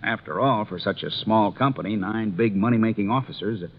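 An American actor playing a talkative small-town police sergeant in 1950s radio drama, plain-spoken and opinionated. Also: male voice, on the low side, brisk. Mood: opinionated, earnest. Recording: tinny, noisy 1950s radio recording.

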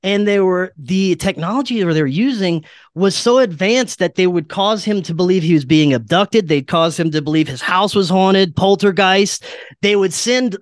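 Higher-voiced man telling stories casually. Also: moderate pace, no room sound, studio quality. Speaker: podcast guest. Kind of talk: casual conspiracy and paranormal talk.

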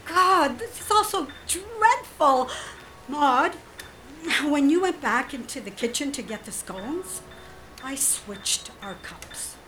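High, slow-spoken genteel woman, coolly devious. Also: animated, pushed and bright, slight background noise, full character voice, low-quality recording. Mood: apologetic, explaining. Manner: hesitant, polite, drawn-out delivery. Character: genteel, coolly devious woman feigning sweet innocence with a friend. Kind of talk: audio drama acting.

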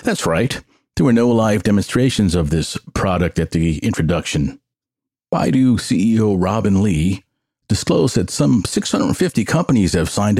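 A man with a lowish voice presents scripted tech news as a solo podcast host. His delivery is dry and wry, and the sound is close and dry, with little room on it. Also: darkish tone, no echo.

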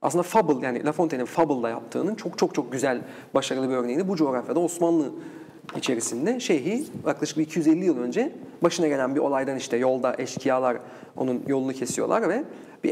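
Male guest expert, rapid emphatic conversational delivery, recorded in a reverberant space. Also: mid-range voice, lively intonation, darkish tone. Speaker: guest researcher of folklore and culture. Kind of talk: conversational interview explanation. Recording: echoey hall-like reverb.

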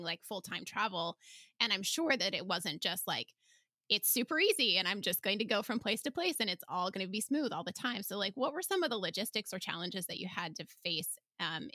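A woman's voice: medium pitch, bright, forceful tone, no echo, studio quality. A woman podcast host interviewing casually, with chatty, encouraging remarks and long rambling questions.

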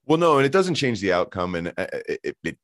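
A man hosting a casual football podcast, joking and animated, with frequent restarts. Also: fairly low pitch, fast talker, no room sound, clean studio sound. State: hoarse, voice shot in intro. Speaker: podcast host.

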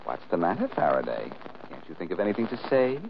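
A man's voice: deep voice, very animated, fast talker, vocal fry, heavily acted. A man playing a wry, unflappable American sleuth who deals in dry wisecracks in an old radio crime drama. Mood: frank, wry. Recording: muffled old radio recording, faint noise.

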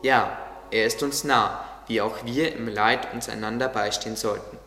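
A man playing a calm, caring gardener in a radio play, speaking with solemn, reassuring patience. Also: mid-range voice, moderate pace. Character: calm, caring male gardener, gently guiding. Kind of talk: German religious audio drama acting. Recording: echoey hall with music underneath.

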